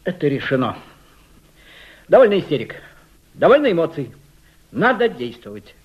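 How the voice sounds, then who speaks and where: An actor playing an imperious, cold Italian fascist conspirator in a Soviet radio drama, giving clipped theatrical orders. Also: male voice, on the high side, very animated, normal speed, slightly rough. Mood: impatient, commanding. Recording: dull lo-fi radio play recording.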